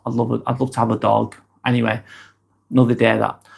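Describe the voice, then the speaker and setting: A man's voice: mid-range voice, little pitch movement, fast, gravelly, husky. Lancashire man livestreaming, rambling and chatty, mid-range voice, lots of ums and restarts. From East Lancashire, England. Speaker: livestream vlogger. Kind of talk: casual livestream chat, reading out viewer comments.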